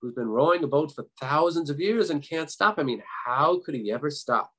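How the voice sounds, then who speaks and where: A man's voice: fairly high pitch, expressive, a little fast, fairly bright tone. A man voicing the devil's grandmother in a folktale, an old woman who scolds and coaxes. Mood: feigned distress. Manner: scolding, coaxing.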